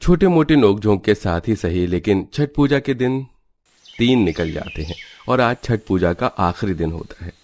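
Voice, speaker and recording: A man tells a cartoon story as a scripted narrator. His voice sits very low, and the delivery is dramatic, like a storybook. Music plays clearly underneath. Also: lively intonation, moderate pace, a little mellow.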